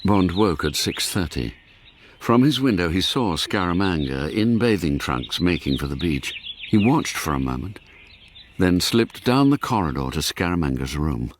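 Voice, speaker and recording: A measured narrator of a radio spy thriller. His voice is exceptionally deep, with faint background noise behind the scripted narration. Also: animated.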